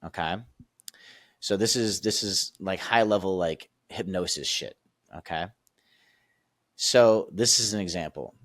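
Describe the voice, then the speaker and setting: Male performance coach, deep voice, dry close recording, lively teaching tone. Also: a little slow, long pauses, no echo. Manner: energetic, repeats "right?" and "okay?" often. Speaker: sales and performance coach, guest. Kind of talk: casual teaching explanation.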